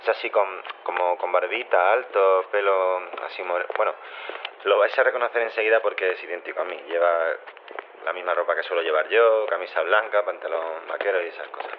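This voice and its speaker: A man playing an awkward lab scientist, leaving a halting, flustered voicemail in an audio drama. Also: sits low, natural voice. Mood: awkward, hesitant. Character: awkward, hesitant male cloning-lab scientist. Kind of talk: acted voicemail announcement. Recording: tinny noisy phone line.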